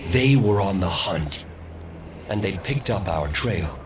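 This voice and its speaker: An actor playing a haunted, defiant man recounting his past in deliberate, dramatic audio drama narration. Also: sits low, animated. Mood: intense, defiant. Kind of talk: dramatic first-person storytelling in audio drama. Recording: distant mic, clear background noise.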